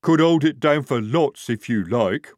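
A man reading a story, voicing a slow, simple female troll soldier who talks plainly and cheerfully. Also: mid-range voice, animated, darkish tone, no room sound, studio quality, voice pushed up, close to an everyday voice.

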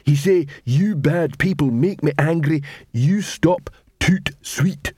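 A man voicing a slow-witted male troll watchman who speaks in broken, halting grammar. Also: on the high side, a little mellow, slightly rough, dry recording, clean studio sound, voice pushed up. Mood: gruff.

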